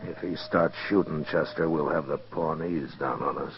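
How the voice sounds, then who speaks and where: An actor playing a steady, deliberate frontier marshal who also narrates in a 1950s radio western. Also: male voice, rumbling low. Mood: hushed, resolute. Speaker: lead actor and first-person narrator. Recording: muffled old radio recording.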